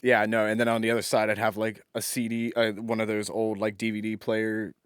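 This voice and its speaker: A man's voice: on the low side, completely flat delivery, brisk, smooth, no room sound, studio quality. A man co-hosting a podcast in casual chat, blunt and laid-back, swearing casually. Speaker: podcast co-host.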